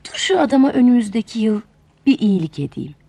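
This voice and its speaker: A woman's voice: a little high, big pitch swings, a little fast, creaky, mellow, dry recording, exaggerated voice. A woman voicing a kindly angel of goodness in a fairy tale, musing benevolently.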